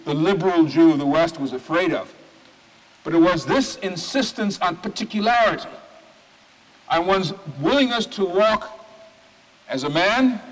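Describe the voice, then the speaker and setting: A man, a rabbi, giving a sermon in an oratorical manner, stacking phrases with emphatic pauses. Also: sits high, a bit bright. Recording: reverberant hall recording.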